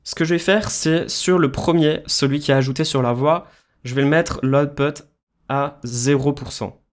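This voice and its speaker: A man's voice: a little high, normal speed. A man presenting a music production tutorial, explaining things informally, step by step. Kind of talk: casual screen-share software tutorial.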